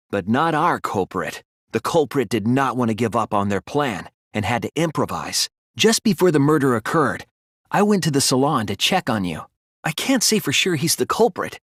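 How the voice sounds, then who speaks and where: A man voicing an earnest, analytical teenage boy detective in a video game, reasoning deliberately through scripted dialogue. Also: medium pitch, fairly bright tone, no room sound, clean studio sound. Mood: serious, explaining.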